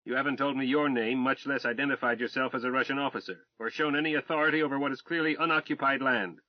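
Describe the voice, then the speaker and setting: A man voicing a calm, even-tempered American pilot who speaks in a firm, measured way. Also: medium pitch, dry recording, natural voice. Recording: dull, dry sound.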